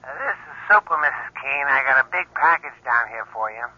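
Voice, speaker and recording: An actor playing a blunt, folksy, nosy building superintendent who talks casually in an old radio drama. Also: male voice, medium pitch, expressive, a little fast, pushed and bright, slightly rough, full character voice. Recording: dull vintage radio recording.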